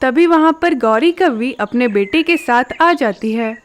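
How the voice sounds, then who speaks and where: A woman's voice: sits high, lively intonation, measured pace, slight background noise. A woman reading scripted narration for a children's cartoon story.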